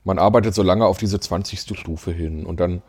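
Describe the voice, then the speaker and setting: A man's voice: sits low, steady tone, moderate pace, over a noisy crowd. A man co-hosting a podcast, chatting casually about tabletop game rules, laughing often and using many fillers. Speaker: podcast co-host.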